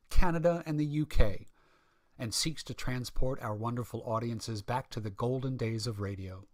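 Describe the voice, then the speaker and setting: A man's voice: mid-range voice, dry recording. Male vintage radio host, emphatic scripted announcer read, mid-range voice, close dry acoustic.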